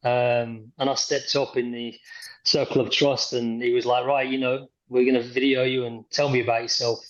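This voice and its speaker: A man's voice: medium pitch, little pitch movement, moderate pace, lo-fi sound. Man, rambling podcast guest. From Yorkshire, England. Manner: rambling, many ums, chuckles through story. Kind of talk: casual podcast interview. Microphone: video call.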